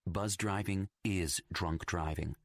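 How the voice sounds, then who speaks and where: A man reading a scripted public service announcement in a serious, measured manner. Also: low voice, steady tone, dry recording, studio quality. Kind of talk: scripted radio PSA voice-over.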